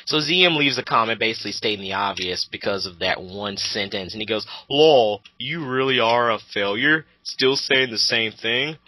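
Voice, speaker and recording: A man's voice: lowish voice, lively intonation, moderate pace, few pauses, sharp tone, no room sound, low-quality recording. A man delivering crude, sarcastic, exasperated commentary in a mocking rant.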